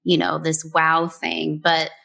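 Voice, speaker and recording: A woman's voice: sits low, slow pace, vocal fry. A woman from New Mexico, a brand story strategist, chatting casually as an interview guest and giving advice with many "like"s and "um"s. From New Mexico, USA. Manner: relaxed, conversational, many "like"s and "um"s.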